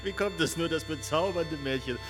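A woman's voice: low-pitched, normal speed, soft background music, close to an everyday voice. An actress playing a mocking, theatrically exclaiming society woman in a radio drama.

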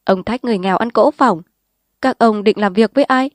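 A defiant village schemer who speaks up hard and fast, as a woman narrator puts him on. The voice is lifted a little above her own and pushed loud. It is recorded dry and close, like a storybook read aloud. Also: fairly high pitch, no echo, natural voice. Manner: heated, confrontational. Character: forceful scheming village man, bold and defiant.

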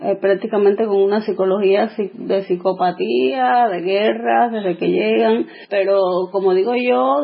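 Gravelly Cuban woman on lo-fi radio. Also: medium pitch. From Cuba. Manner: earnest, with frequent hesitations and restarts. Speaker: correspondent. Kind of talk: political commentary report.

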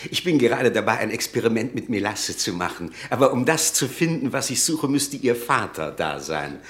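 An actor playing a fussy, garrulous provincial pharmacist in a German radio drama, rambling sentimentally and repeating himself. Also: male voice, medium pitch, expressive, normal speed, a bit bright, lightly acted. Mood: chatty, wistful.

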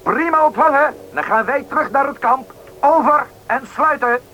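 A man's voice: very high-pitched, lively intonation, normal speed. A man acting a dutiful search team radio operator, making formal calls in a radio play. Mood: brisk. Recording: noisy old radio play recording.

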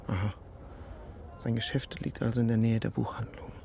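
Actor doing polite cop in a radio drama, medium voice, careful tactful questions, bit of noise behind. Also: male voice, mid-range voice, level tone, normal speed, vocal fry, dark tone, natural voice. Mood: gentle, tactful. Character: young male police detective, polite, considerate and careful. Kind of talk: naturalistic radio drama acting.

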